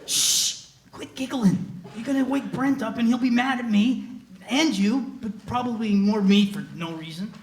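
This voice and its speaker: A man's voice: extremely high pitch, normal speed. An actor reading a nervous, fidgety man who stammers, at a live table read. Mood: fretful. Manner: stammering, flustered. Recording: echoey hall with background noise.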